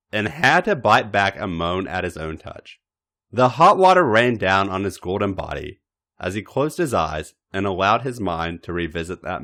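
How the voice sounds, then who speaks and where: A man reading a story aloud in a dramatic, expressive narrating style, with theatrical emphasis and deliberate pauses. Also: sits low. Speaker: podcast host reading fan fiction.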